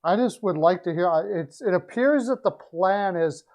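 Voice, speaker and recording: A man hosting a live hockey chat stream, hoarse and losing his voice, talking in a low-energy, hesitant way. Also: lively intonation, normal speed, a little mellow. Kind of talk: casual hockey chat with viewers. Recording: dull lo-fi stream audio.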